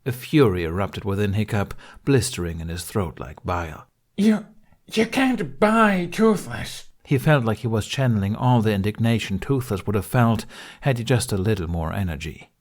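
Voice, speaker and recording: Low-voiced man narrating an audiobook. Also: a little fast, a little mellow. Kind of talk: scripted story narration.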